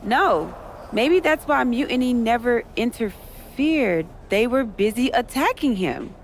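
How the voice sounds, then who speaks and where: A woman's voice: fairly high pitch, expressive, measured pace, slight background noise. A woman playing a concerned, businesslike wrestler ally in a video game cutscene. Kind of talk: scripted game cutscene dialogue.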